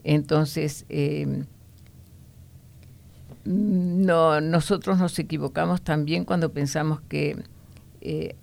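Low, gravelly woman teaching the Bible. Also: steady tone, a little mellow. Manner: explanatory, often ending points with a questioning "no?"; unhurried, with frequent hesitation fillers.

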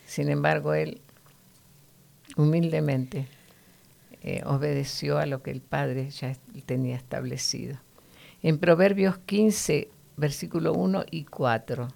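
Low, gravelly-voiced woman teaching the Bible. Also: steady tone, unhurried, a little mellow. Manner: earnest, teacherly, frequent "¿no?" tags.

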